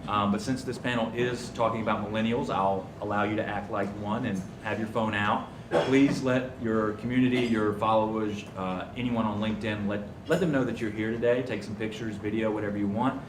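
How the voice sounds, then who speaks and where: A man's voice: fairly low pitch, fairly even intonation, fairly quick. A young man speaking on a conference panel in a confident, punchy, joking way. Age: young adult. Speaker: panelist, communications officer. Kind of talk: panel discussion talk. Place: conference room before a live audience. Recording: echoey room, distant mic, noisy.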